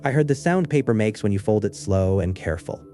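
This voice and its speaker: Bright-voiced man narrating an eerie story. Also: fairly low pitch, expressive, fairly quick, soft background music. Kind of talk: scripted first-person horror story narration.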